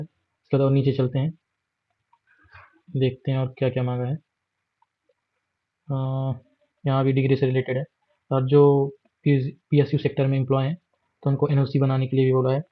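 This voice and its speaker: Indian guy explaining exam rules, keeps it flat, dull muffled recording. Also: mid-range voice, moderate pace, long pauses. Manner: steady, plain explaining with fillers and English words mixed in. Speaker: exam guide presenter. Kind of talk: screen walkthrough of a job notification.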